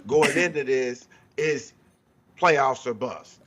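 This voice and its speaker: A man's voice: medium pitch, takes it slowly, fairly bright tone, dull audio, slight background noise. A man talking sports casually on a panel, blunt and informal, often circling back to restate his point. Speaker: sports talk show guest or panelist. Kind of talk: casual unscripted sports debate.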